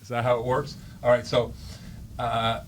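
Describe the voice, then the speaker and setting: A man's voice: medium pitch, monotone, normal speed, slight background noise. Guy hosting a business interview, friendly, cracks jokes. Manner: friendly, joking. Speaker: host.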